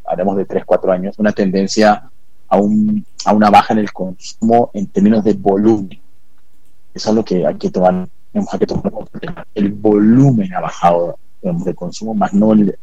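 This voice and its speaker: A man born in England and raised in South America, a whisky expert guest talking in a measured, explanatory way. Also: on the low side, vocal fry, warm tone, slightly rough, no echo, dull audio. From born in England, raised in Peru, Ecuador and Colombia. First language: Spanish. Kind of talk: interview conversation.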